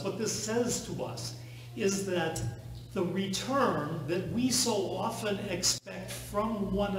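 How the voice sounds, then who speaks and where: A priest in his thirties preaches at an unhurried, halting pace, his voice sitting a little high. He is recorded from far across a large church, and the sound rings with strong reverb over a faint hum. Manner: measured preaching with slow, deliberate pauses. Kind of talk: sermon. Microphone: far camera.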